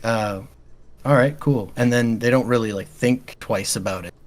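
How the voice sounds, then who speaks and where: A man chatting casually as an interview guest, quiet and calm in his delivery. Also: mid-range voice, moderate pace. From Canada. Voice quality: quiet speaking voice. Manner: calm, easygoing. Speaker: metal band vocalist, podcast guest.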